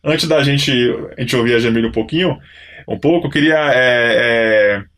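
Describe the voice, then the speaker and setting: Podcast host thinking aloud. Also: male voice, mid-range voice, moderate pace, a bit bright. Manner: thinking aloud, restarting phrases. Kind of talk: casual podcast conversation.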